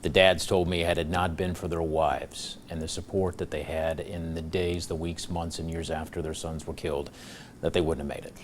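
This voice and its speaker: A man anchoring TV news in reflective conversation, haltingly repeating words as he goes. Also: sits low, little pitch movement, a little hiss or hum.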